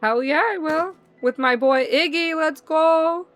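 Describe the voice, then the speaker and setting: A woman's voice: high-pitched, measured pace. A woman livestreamer reading visual novel narration aloud and reacting in a playful, slangy way. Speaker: livestream gamer.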